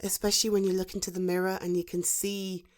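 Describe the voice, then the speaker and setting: A woman's voice: medium pitch, flat delivery, darkish tone, lightly acted. Soothing, supportive British female friend acted by a woman. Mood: earnest, encouraging. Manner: soothing, encouraging, with thoughtful pauses. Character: supportive, protective female friend giving gentle reassurance. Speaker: voice actor in an audio roleplay. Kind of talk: ASMR roleplay, conversational one-sided dialogue.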